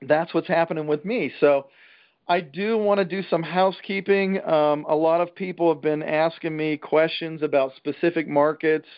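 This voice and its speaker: A man giving an informal real estate trainer's talk over a conference call, plain-spoken and emphatic, with a salesman's folksy pitch. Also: fairly even intonation, moderate pace. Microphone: telephone conference call. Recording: dull, band-limited conference call line.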